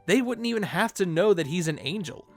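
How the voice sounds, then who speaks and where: A man's voice: sits high, animated, brisk, soft background music. A man narrating an informal fan video essay, with playful, conversational asides.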